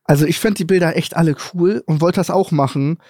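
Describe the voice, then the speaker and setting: A man's voice: fairly high pitch, quick pace, dark tone, no echo, studio quality. A man chatting casually and informally as a podcast co-host. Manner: informal, slangy. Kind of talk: casual chat.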